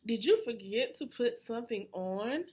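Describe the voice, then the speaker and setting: A woman's voice: a little high, expressive, moderate pace, a little mellow, voice pushed down, lightly acted. A woman voicing a motherly, nagging frog in a storybook, calling out and chiding with questions. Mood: calling, reminding.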